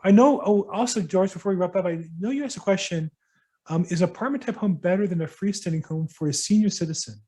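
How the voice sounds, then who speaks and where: A middle-aged man with a mellow, somewhat high voice answers questions from a workshop audience. He speaks casually and haltingly, with frequent ums and restarts. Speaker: real estate broker hosting a workshop. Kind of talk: casual advice to attendees.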